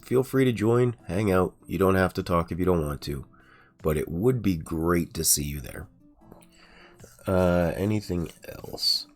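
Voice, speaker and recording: A man with an extremely deep voice talks his way through a video game stream, loose and unhurried. His throat is sore today, so the voice comes out raspy. Faint music plays underneath. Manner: relaxed, rambling, with frequent ums and uhs. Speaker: gaming streamer. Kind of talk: casual let's-play commentary.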